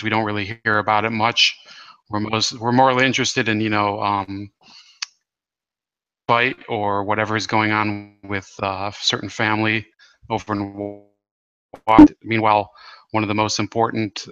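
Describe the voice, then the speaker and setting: Dry, bright-voiced male podcast host. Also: on the low side, clean tone, dry recording, highs cut off. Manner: halting, many fillers and restarts. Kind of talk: casual interview.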